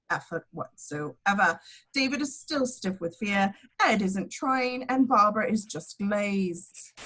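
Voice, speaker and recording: Woman reading stage directions, medium pitch, amateur script read narration. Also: low-quality recording.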